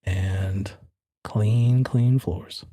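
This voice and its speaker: A man runs a tabletop horror game as its game master. His voice is on the low side, relaxed and husky. He moves between scene narration and casual, teasing banter, in a dry, close sound. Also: drawn-out words, no room sound.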